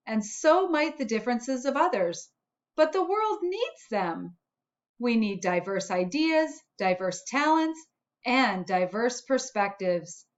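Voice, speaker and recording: A friendly storybook spot, voiced by a woman, speaks slowly and encouragingly to children. The voice is a bit high, with simple, cheerful helper phrasing. The sound is slightly dull and lo-fi.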